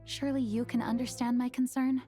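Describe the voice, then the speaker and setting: A woman voicing a courteous, dutiful female knight commander in a video game, formal, measured and earnest. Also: fairly high pitch, level tone, over music, close to an everyday voice.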